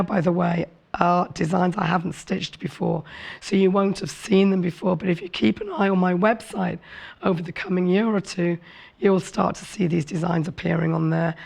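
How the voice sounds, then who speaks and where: A woman presenting a televised sewing demonstration with a hoarse, rough voice from a cold. She explains in a chatty, friendly way and apologizes for her voice. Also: lowish voice, a little mellow. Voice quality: rough, raspy voice. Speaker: craft show presenter. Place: TV studio set.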